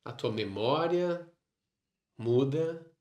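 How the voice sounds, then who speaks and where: A man with a Brazilian Portuguese accent leading a guided meditation, instructing in short phrases separated by long pauses. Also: mid-range voice, animated, a little mellow, lo-fi sound. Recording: roomy, echoing sound.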